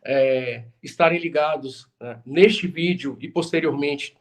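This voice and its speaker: A man's voice: on the high side, slow pace. A man hosting a podcast who introduces his guest in a formal, ceremonious way. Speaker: podcast host.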